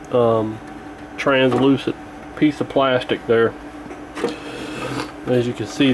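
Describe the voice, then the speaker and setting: A man's voice: mid-range voice, noisy background. A man giving a casual, hands-on repair walkthrough in a folksy, colloquial way, thinking aloud as he goes. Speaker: radio repair hobbyist presenting.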